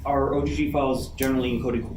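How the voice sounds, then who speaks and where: A man's voice: medium pitch, fairly even intonation, fast, echoey room. Distant man speaking casually in noisy room. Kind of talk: casual questions. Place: convention panel room.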